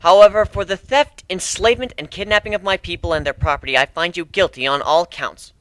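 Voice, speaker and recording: Man acting a commanding griffin leader, raised pitch. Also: fairly quick, a bit bright, low-quality recording. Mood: solemn, commanding. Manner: declarative, formal. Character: firm, commanding young griffin leader passing judgment.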